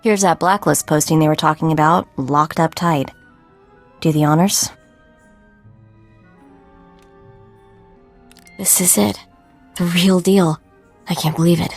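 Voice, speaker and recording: An actress playing a young, savvy, eager bounty hunter's sidekick, casual and spirited, in a video game. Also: female voice, sits low, steady tone, moderate pace, soft background music. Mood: excited, eager. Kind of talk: video game cutscene acting.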